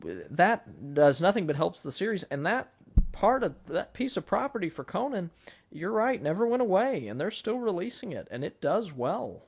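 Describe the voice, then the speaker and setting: A man's voice: high voice, very animated, normal speed, vocal fry, breathy edge. A man hosting a casual podcast conversation, speaking in an earnest, wordy way and often repeating himself. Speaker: podcast host.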